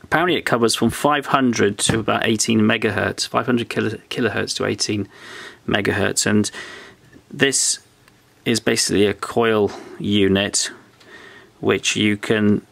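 A man with a low, gravelly voice talks through the controls of an old device at his workbench. He explains each part step by step in a relaxed way, thinking aloud as he goes. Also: measured pace. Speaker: electronics restorer. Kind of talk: hands-on show-and-tell. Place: workbench indoors.

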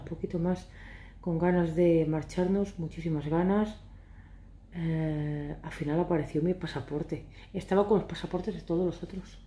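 A woman in her thirties, from Catalonia, chatting to camera in a casual, ironic, rambling way, a little hoarse. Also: on the low side, mellow, reverberant. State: hoarse from shouting. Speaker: vlogger. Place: living room sofa, indoors. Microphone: phone camera at arm's length.

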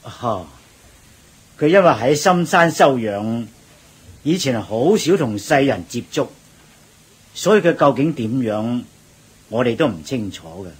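An actor playing a patient, deferential Buddhist monk who explains things gently and in a measured way in a radio drama. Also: male voice, mid-range voice, expressive, slightly rough. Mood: calm, reassuring. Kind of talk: Cantonese radio drama acting.

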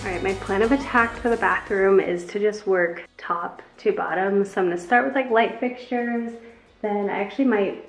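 A woman's voice: mid-range voice, moderate pace. A woman in her thirties talking in a friendly, upbeat and casual way, as a home vlogger chatting to viewers. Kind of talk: chatty vlog narration. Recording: music behind the voice.

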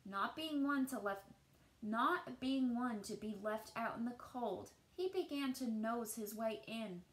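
Slow, higher-voiced woman reading a storybook. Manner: deliberate, expressive storytelling with emphasis on key words. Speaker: teacher reading aloud to children. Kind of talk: picture-book read-aloud.

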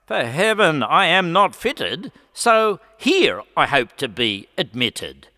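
A man's voice: fairly high pitch, big pitch swings, slow, bright, forceful tone, full character voice. A man voicing a defiant convict poet who declaims earnestly and indignantly in a recited ballad. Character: Frank, defiant male convict poet, bold and plainspoken. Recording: long reverb hall.

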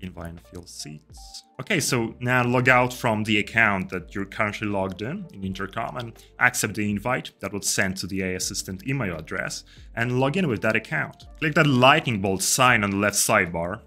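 Bright, low-pitched young man presenting a tutorial. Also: animated, normal speed. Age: young adult. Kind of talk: step-by-step tutorial narration. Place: indoor room. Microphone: desk microphone close to the speaker. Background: music.

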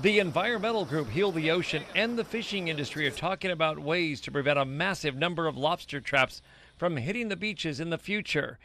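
An older man giving a brisk, emphatic live news report from a beach. His voice is bright and fairly high, with faint outdoor noise behind him. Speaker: field reporter. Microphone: handheld mic.

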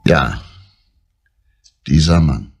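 An actor playing a grave, controlled middle-aged detective under suspicion, speaking in a measured, deliberate way in a crime drama. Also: male voice, very deep voice, expressive, heavily acted. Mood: controlled, serious. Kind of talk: restrained crime drama dialogue.

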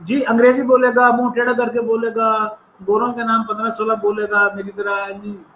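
A man's voice: high voice, little pitch movement, normal speed, a bit bright, reverberant, a little hiss or hum, poor audio. Earnest man with a slight American accent. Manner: earnest, reflective, sprinkles English phrases. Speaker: entrepreneurship professor, guest. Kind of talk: conversational interview storytelling.

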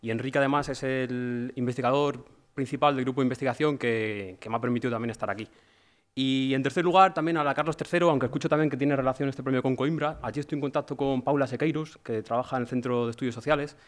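A man's voice: medium pitch, fairly even intonation, fast talker. A young man from the Madrid region, giving an informal acceptance speech at a podium microphone. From Madrid region, Spain. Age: young adult. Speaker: award recipient, doctoral researcher. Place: auditorium stage at a conference. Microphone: gooseneck podium microphone.

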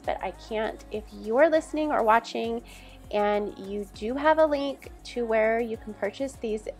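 Higher-pitched woman with a creaky edge. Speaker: home cleaning and decorating vlogger. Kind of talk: casual voice-over chat to viewers. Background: background music.

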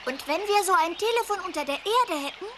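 A woman's voice: extremely high pitch, expressive, a little fast, background noise. A woman voicing a small, sweet, eager tiger child in a children's audio play. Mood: earnest.

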